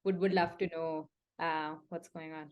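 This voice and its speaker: A woman's voice: low voice, little pitch movement, unhurried, lo-fi sound. A woman hosting an interview who opens with effusive praise and a lot of hesitant fillers. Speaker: interview host. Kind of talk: warm friendly interview opening.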